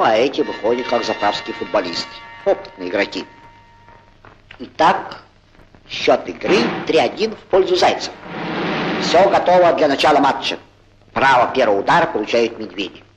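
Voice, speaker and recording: A man playing an excitable, good-humoured football commentator in a 1940s cartoon, chatty and theatrical, on a noisy old film soundtrack. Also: medium pitch, natural voice. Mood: chatty, genial. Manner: chatty, theatrical, exclaiming, correcting himself. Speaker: sports commentator.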